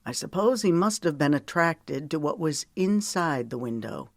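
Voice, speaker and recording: A woman's voice: sits low, animated, moderate pace, no echo, studio quality. A woman narrator voicing a protective, weary man in an audiobook, tender but stern, with an earnest, lecturing manner. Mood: thoughtful. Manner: earnest, lecturing, folksy storytelling.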